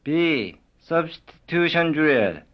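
A man reading short cue words for a language substitution drill, one at a time in a slow, measured way. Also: on the high side, very animated. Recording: dull lo-fi recording.

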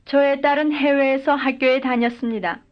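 A woman's voice: high voice, fairly even intonation, normal speed. A woman speaking full model sentences in a language drill, each one carefully and evenly delivered. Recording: dull lo-fi recording.

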